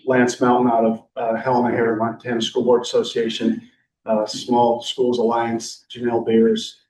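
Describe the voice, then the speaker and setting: A man's voice: medium pitch, completely flat delivery, moderate pace, a little mellow, a little hiss or hum. Male meeting chair, casual and talkative, heard from a distant mic in an echoey room. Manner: chatty, rambling, with light joking. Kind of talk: council meeting discussion.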